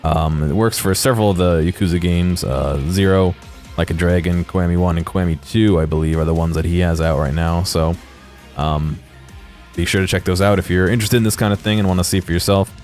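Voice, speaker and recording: A man with a low-pitched voice talks through game footage off the cuff. His delivery is casual and somewhat halting, as he thinks aloud. Faint music plays behind him. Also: steady tone, darkish tone. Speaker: host of a game exploration video. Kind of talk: casual unscripted video game commentary.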